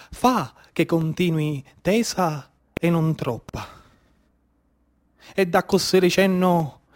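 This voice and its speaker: A man with a Sicilian accent performing a dramatic theatrical monologue in dialect, rhythmic and incantatory, with repeated phrases. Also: a little high. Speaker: theatre storyteller and actor.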